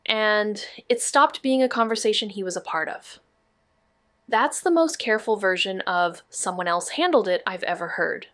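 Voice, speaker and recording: A woman acting a quick-witted, playful and determined amateur investigator in a naturalistic mystery audio drama. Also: medium pitch, animated, a bit bright, dry recording, close to an everyday voice. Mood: focused, investigative. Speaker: actor in a neo-noir mystery podcast.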